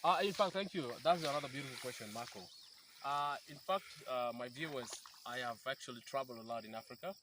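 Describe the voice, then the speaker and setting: Interviewgast, Mann in den Dreißigern aus dem Südsudan, erklärt eindringlich und setzt oft neu an; leise Insekten im Hintergrund. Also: male voice, mid-range voice, quick pace, noisy background. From South Sudan. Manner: earnest, explanatory, with frequent restarts. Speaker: peace activist guest. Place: outdoors by a river in rural bushland.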